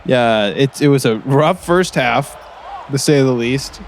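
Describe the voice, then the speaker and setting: An actor playing an excitable, folksy small-town color commentator at a basketball game, with halting, repetitive phrasing. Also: male voice, mid-range voice, a little hiss or hum. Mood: excited. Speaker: sports commentator. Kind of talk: improvised-sounding basketball commentary in an audio drama.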